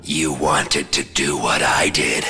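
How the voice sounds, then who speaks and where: An actor voicing a murderous male symbiote-bonded psycho killer in a video game cutscene, speaking in a slow, taunting, raspy whisper that breaks into shouts. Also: very deep voice, steady tone, music in the background, full character voice. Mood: menacing.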